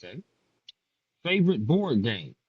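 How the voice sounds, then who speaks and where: A man's voice: medium pitch, big pitch swings, measured pace, breathy edge. A man hosting a casual podcast interview, firing off playful questions with some slang. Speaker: podcast host. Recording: dull lo-fi sound.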